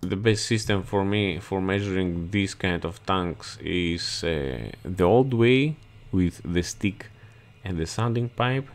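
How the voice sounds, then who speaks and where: A man with a very low voice gives a slow tour of a ship's engine room. He speaks non-native English and often repeats words for emphasis. He is recorded on a body-worn camera as he moves between the machines. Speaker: marine chief engineer giving a ship tour. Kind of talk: explanatory walkthrough video. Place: ship engine room, indoors. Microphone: body-worn action camera.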